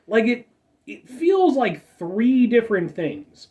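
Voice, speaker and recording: A man in his thirties with a stuffed-up nose hosts a casual soda review, rambling, correcting himself and adding asides. His voice is mellow and dark, with lively swings in pitch. The mic sits a little away from him. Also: takes it slowly, recorded from a distance, muffled recording. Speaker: food review show host.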